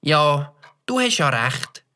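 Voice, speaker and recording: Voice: medium pitch, big pitch swings, slow, pushed and bright, heavily acted. An adult voicing a young Swiss German boy who stammers with fillers, in a children's audio drama. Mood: sheepish. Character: young boy, mischievous but sheepish. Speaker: child character.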